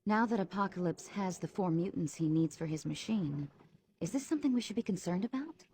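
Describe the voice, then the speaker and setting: A woman's voice: lowish voice, lively intonation, fairly quick, dark tone, a little hiss or hum. A woman playing a level-headed mutant team member in a video game cutscene. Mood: concerned.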